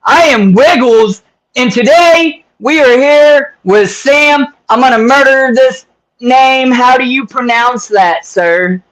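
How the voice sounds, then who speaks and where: A man with a high voice hosting a loose, casual interview. He jokes and rambles, with plenty of fillers between questions. His voice sits well above most men's. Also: slow, a bit bright, poor audio. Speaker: interview host.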